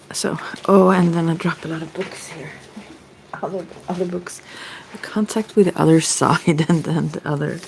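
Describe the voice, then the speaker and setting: A woman's voice: low voice, creaky, slight background noise, rough recording. A middle-aged woman, chatting informally in an ASMR style with a hesitant, meandering manner, close to the microphone. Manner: hesitant, meandering, giggly asides. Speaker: ASMR tarot reader. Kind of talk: rambling, informal ASMR chat.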